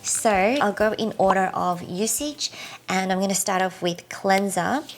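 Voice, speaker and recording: A woman in her thirties talking casually through a product review, her voice husky and croaky from tiredness, with frequent "like" fillers. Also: mid-range voice, unhurried. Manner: chatty, filler-heavy, uses "like" often. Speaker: beauty vlogger.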